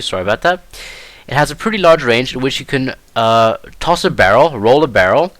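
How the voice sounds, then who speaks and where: A man's voice: medium pitch, fairly bright tone, dry recording. A young man whose first language is German, giving casual commentary over his own gameplay as a tutorial, hesitant with many fillers and restarts.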